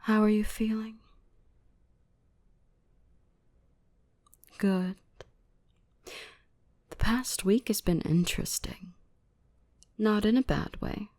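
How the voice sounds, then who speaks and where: A woman playing a gentle, protective dark elf in an ASMR roleplay, speaking slowly and tenderly in a soothing soft voice that slips into breathy whispers. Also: mid-range voice. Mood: affectionate, intimate.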